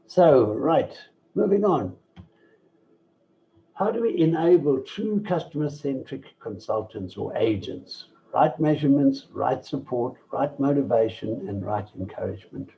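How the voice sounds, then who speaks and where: A man giving an online masterclass from slides, measured and listing points with pauses and fillers, over a computer mic without a headset. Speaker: masterclass presenter. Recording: dull low-fi online call audio with faint noise.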